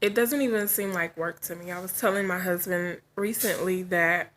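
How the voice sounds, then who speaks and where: A woman's voice: fairly low pitch, fairly even intonation, normal speed, a bit bright, a little hiss or hum. Woman, forties, vlogger, casual chat, frank, chatty, laughs often, just woke up. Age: 40s.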